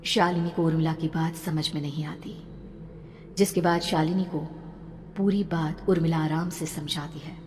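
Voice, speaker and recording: A woman with a slightly low voice tells a scripted horror tale dramatically, as its narrator. The recording has a long reverb and faint noise. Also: fairly even intonation, moderate pace, darkish tone.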